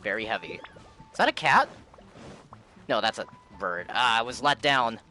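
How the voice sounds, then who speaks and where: A man's voice: mid-range voice, animated, normal speed, pushed and bright. A man livestreaming a game with casual, playful, chatty reaction commentary. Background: game music.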